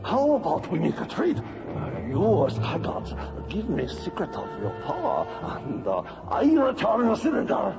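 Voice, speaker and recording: An excitable alien lord, high and creaky, theatrically pompous yet cowardly. His broken English lurches slowly over loud music on a dull old radio drama recording. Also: exaggerated voice. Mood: oily, ingratiating. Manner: theatrical, sputtering, broken foreign-sounding English.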